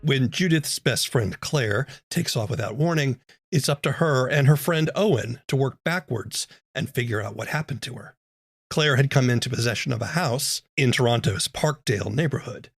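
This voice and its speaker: A man's voice: medium pitch, lively intonation, normal speed, husky, dry recording, clean studio sound. A man speaking as a podcast host, in scripted narration and interview questions.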